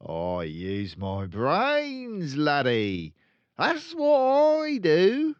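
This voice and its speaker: Guy doing a bragging crooked car dealer dad, rude n loud, pitch jumps all over, storybook reading. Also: huge pitch swings, extremely slow, smooth, no echo, lo-fi sound, heavily acted. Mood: cocky explaining. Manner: loud bragging, sneering, colloquial. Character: boastful, crooked, rude car-dealer father, middle-aged.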